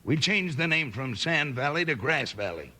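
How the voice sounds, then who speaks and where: An actor playing a sly, smug land swindler in a 1950s western radio drama, smooth-talking and scheming. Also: male voice, fairly high pitch, very animated, normal speed, pushed and bright, full character voice. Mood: scheming, self-satisfied. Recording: faintly noisy old radio recording.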